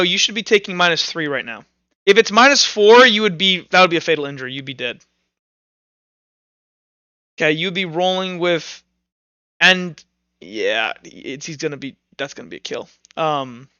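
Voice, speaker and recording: A man running a tabletop roleplaying game as game master, narrating and explaining rules informally, thinking out loud as he goes. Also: a little high, clear, resonant tone, no echo, dull audio.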